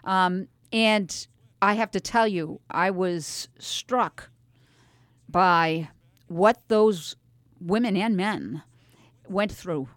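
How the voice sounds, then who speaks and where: A woman's voice: medium pitch, very slow, long pauses, a bit bright, no room sound. A middle-aged woman hosting a chatty radio interview in an effusive, encouraging way. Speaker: radio host and voice teacher interviewing young performers. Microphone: studio microphone with headsets.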